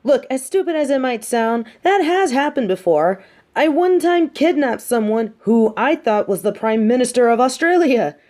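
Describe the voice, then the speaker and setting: A woman's voice: a little high, lively intonation, normal speed, darkish tone, lightly acted. A woman playing a smug, mean but sympathetic supervillain captor in an audio roleplay, talking in a taunting, theatrical, conversational way. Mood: suspicious, musing. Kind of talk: roleplay audio drama monologue.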